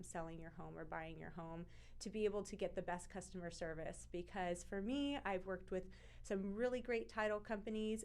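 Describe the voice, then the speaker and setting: Effusive woman hosting a real estate show. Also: mid-range voice. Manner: enthusiastic, effusive. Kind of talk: interview hosting.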